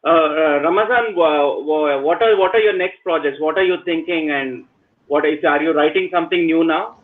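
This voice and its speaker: A man's voice: fairly high pitch, moderate pace. A man from India moderating a film panel, often stammering as he starts his questions. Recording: tinny phone-like call line.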